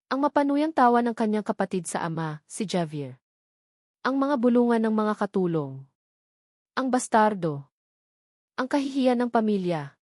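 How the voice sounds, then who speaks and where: A woman reading a scripted dramatic story as an audiobook narrator, in a measured, emotive way. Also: mid-range voice, a little mellow, no echo, clean studio sound.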